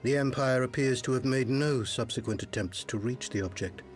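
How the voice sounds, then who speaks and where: An actor playing a dutiful soldier in a fantasy game, reporting in a formal, measured, earnest manner. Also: male voice, medium pitch, faint music behind, natural voice.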